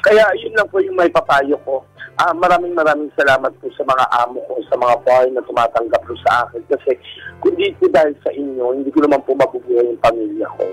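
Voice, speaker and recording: A man's voice: mid-range voice, big pitch swings, fairly quick, a bit bright, breathy edge, no echo, soft background music, rough recording. A man with a Filipino accent speaking politely and casually as a guest sharing personal experiences. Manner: polite, using respectful particles. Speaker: guest telling their own story.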